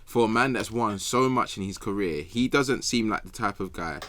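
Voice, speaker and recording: Deep-voiced young London man debating football. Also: moderate pace, a bit bright. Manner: slangy, emphatic, conversational. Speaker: podcast guest pundit.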